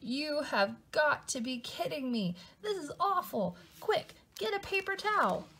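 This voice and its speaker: A woman voicing an excitable, dramatically exasperated little boy from a storybook, with theatrical, indignant exclamations. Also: sits high, a little slow, voice pushed up, exaggerated voice. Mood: panicked.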